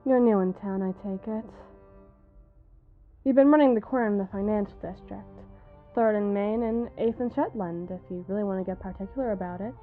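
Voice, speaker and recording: A woman's voice: mid-range voice, fairly quick, narrow, tinny audio, soft background music, natural voice. A woman playing a menacing, mockingly sweet female mob boss in a dramatic ASMR roleplay, taunting and drawing out her words for emphasis. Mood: mocking, smug.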